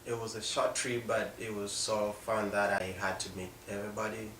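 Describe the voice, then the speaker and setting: A young man with a South Sudanese accent and a low voice tells his own story off the cuff. He speaks haltingly, often breaking off mid-sentence. The recording is roomy and echoing, picked up at some distance from the mic. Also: flat delivery, fairly bright tone. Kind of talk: personal storytelling, unscripted. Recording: echoey room, distant mic, faint noise.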